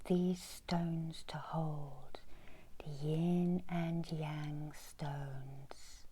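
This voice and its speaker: A middle-aged woman whispering a soothing, breathy ASMR guided meditation as a healer, softly giving instructions. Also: low-pitched, steady tone, very slow, slight background noise. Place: small indoor room with folding screens.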